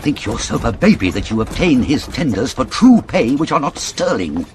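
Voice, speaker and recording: A man's voice: mid-range voice, big pitch swings, normal speed, a little hiss or hum, lightly acted. An actor with a British accent playing a pompous, fussy older father and counsellor, lecturing in classical Shakespearean style. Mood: probing, scornful. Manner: declamatory, sententious, lecturing.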